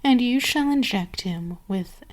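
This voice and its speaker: A woman voicing a seductive, domineering vampire queen in an ASMR roleplay, speaking deliberately with savoring pauses to an enslaved servant. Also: on the high side, lively intonation, poor audio. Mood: conspiratorial, measured. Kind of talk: ASMR roleplay, scripted monologue.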